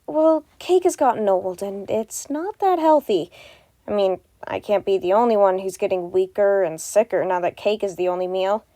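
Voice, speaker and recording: A woman's voice: mid-range voice, normal speed, mellow, dry recording, lightly acted. A woman voicing a friendly, earnest and slightly awkward young female wizard in a fan fiction audio reading. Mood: earnest complaint. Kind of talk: amateur podfic character reading.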